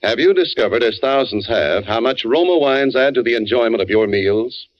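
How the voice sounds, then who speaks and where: A man's voice: mid-range voice, lively intonation, moderate pace, no echo. An American man reading radio advertising as a polished, persuasive 1940s announcer. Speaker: commercial announcer. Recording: muffled old radio recording.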